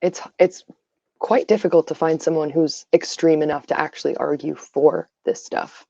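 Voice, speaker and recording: A tired woman with a raspy voice, giving her opinions on a casual livestream full of fillers. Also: low-pitched, normal speed, dark tone, no room sound. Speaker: livestream host. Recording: dull lo-fi stream audio.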